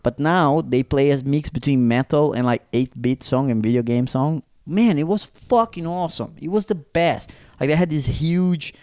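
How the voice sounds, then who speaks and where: Brazilian guy on a comedy podcast, mellow dark voice, swears a lot, acts out quotes, dry close mic. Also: fairly high pitch, moderate pace, hardly any pauses, no echo. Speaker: podcast co-host. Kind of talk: casual comedic chat.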